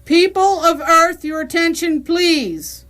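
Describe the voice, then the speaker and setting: A woman's voice: very high voice, slow pace, a bit bright, exaggerated voice. A woman playing an officious, condescending, impatient alien bureaucrat in a comic science fiction radio play. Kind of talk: radio comedy drama acting.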